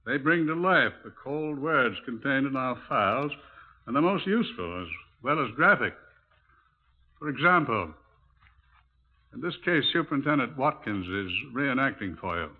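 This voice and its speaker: Actor as a formal British chief inspector, muffled sound. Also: male voice, mid-range voice, expressive, normal speed, lightly acted. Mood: formal, instructive. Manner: formal, explanatory, precise. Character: formal, precise police chief inspector, museum curator. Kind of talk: 1950s radio drama exposition. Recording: muffled narrowband vintage radio recording.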